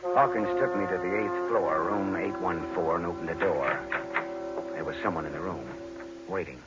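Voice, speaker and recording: An actor playing a wry, tough private detective who narrates a 1940s radio noir, deadpan and laconic. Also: male voice, low-pitched, moderate pace, natural voice. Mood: wry, somber. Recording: muffled vintage radio recording, dramatic music.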